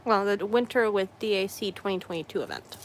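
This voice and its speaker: A woman livestreaming her crafting in casual, rambling chat, hoarse and losing her voice, often clearing her throat and correcting herself. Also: mid-range voice, a little fast, a little hiss or hum. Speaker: craft streamer.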